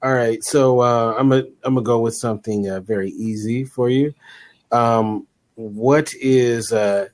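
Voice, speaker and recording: A man's voice: medium pitch, fairly even intonation, darkish tone, dry recording. Upbeat male co-host. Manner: upbeat, frequent laughs and quick acknowledgements. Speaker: show co-host. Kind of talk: interview host, rapid-fire questions.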